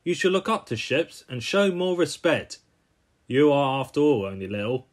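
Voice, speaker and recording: A man's voice: medium pitch, very animated, normal speed, lightly acted. A man voicing a bossy, impatient cartoon crane with a stern, scolding manner.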